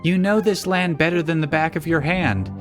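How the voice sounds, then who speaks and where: A man reading out dialogue and interjecting with deadpan, nitpicking jokes. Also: on the high side, normal speed, faint music behind. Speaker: co-reader on a comedy show.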